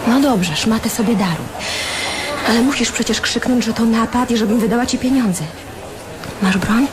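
A woman's voice: a little high, normal speed, natural voice. A woman playing a practical, loving but exasperated partner in a radio drama, chiding and patient. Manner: chiding, patient, sometimes breathy half-whispers. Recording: clearly noisy background.

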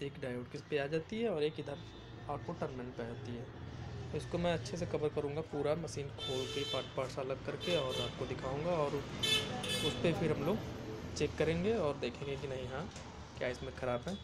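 A man's voice: on the high side, moderate pace, heavy background noise. A man hosting an electronics repair channel, explaining a welding machine's circuit boards step by step while filming on a phone in one hand in a workshop. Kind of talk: hands-on repair tutorial. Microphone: phone held in one hand.

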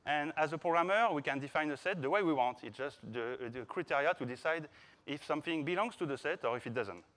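Playful Frenchman speaking on stage, higher-pitched voice. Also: fast talker, fairly bright tone. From France. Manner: playful, informal, calls out to the audience. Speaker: conference speaker, software developer. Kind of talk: conference talk to an audience. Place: large conference hall stage, indoors.

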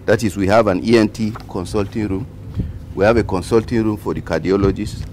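A man giving a formal, measured on-site briefing about a building project. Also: lowish voice, steady tone, a little mellow. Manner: formal, measured explanation with fillers. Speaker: project contractor briefing officials. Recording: outdoor traffic noise.

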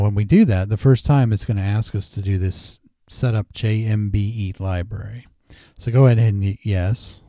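A man hosting a casual screen-recorded software tutorial, explaining each step informally. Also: lowish voice, gravelly, no echo, studio quality. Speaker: tutorial host. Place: indoors at a desk.

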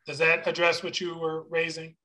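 Bright, forceful man chairing a meeting. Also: a little high, completely flat delivery, normal speed. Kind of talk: formal meeting discussion. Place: video conference meeting. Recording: video call audio.

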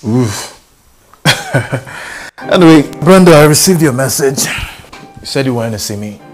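Actor as a defensive, animated Nigerian man. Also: medium pitch, a little slow, faint music behind, natural voice. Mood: subdued. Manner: indignant, protesting. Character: defensive, touchy boyfriend under friends' criticism. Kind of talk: film dialogue in Pidgin and English.

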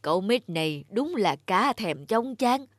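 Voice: medium pitch, very animated, a little slow, dry recording, clean studio sound. An actor voicing a kindly but stern old grandfather clock in a cartoon, speaking like a protective uncle. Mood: indignant. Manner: avuncular, declarative. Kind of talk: cartoon dubbing.

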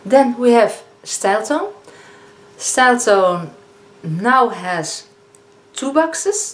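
A woman in her fifties with a Dutch accent, chatting casually as a beauty vlogger reviewing products, often pausing on fillers and correcting herself. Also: mid-range voice. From Netherlands. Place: living room, indoors. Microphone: camera in front of a seated speaker. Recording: echoey room with faint hiss.

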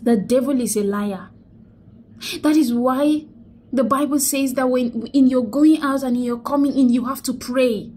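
A young woman with a high voice records herself on a phone held close, indoors. She mourns and exhorts by turns, saying phrases again and again as if she were preaching a sermon. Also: measured pace, dark tone, breathy edge. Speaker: vlogger. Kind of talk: emotional selfie vlog, preachy. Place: indoors, small room. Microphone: phone held close to face.

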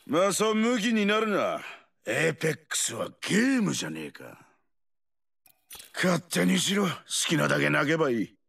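An actor playing a brash, cocky ageing brawler in a video game, with rough, taunting talk and a gravelly voice. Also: male voice, fairly high pitch, wildly swooping pitch, moderate pace, fairly bright tone, heavily acted. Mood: mocking, taunting. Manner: rough, swaggering, taunting slang. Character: brash, rowdy, cocky ageing male explosives-loving brawler. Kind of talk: video game character voice lines.

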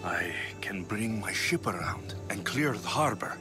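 A man's voice: on the low side, expressive, unhurried. A man playing a stoic, determined Greek mercenary in his thirties, terse and brooding, in a video game. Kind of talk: dramatic video game acting. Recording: music behind.

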